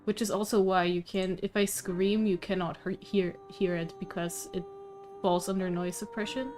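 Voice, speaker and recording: A woman game streamer chatting casually on a live stream, halting and rambling with half-finished sentences. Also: medium pitch, steady tone, a little mellow, faint music behind.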